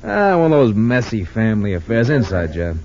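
An actor playing a folksy, excitable police lieutenant in an old radio drama. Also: male voice, on the low side, a little fast. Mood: jovial, excitable. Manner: chuckling, exclamatory, folksy. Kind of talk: 1940s-50s radio drama acting. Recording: dull lo-fi old radio recording.

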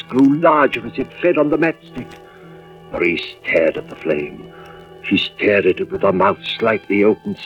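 A man playing a grave, suspicious husband and fire investigator in a mid-century radio drama, with deliberate, dramatic delivery. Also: deep voice. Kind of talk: 1940s-50s radio drama acting, first-person narration. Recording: muffled vintage radio recording with music behind.

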